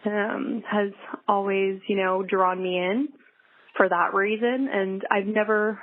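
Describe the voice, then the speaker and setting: A woman's voice: mid-range voice, steady tone, slow pace. A young adult woman talking casually as a podcast guest, chatty with many fillers and restarts. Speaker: guest pilot. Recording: dry, tinny phone-like line.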